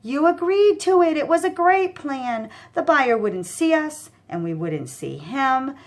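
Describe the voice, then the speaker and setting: A woman's voice: sits high, big pitch swings, unhurried, voice pushed up. Woman doing a whiny, dim-witted big goon. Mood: whining, panicky. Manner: whining, rambling, defensive. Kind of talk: children's audiobook character voice.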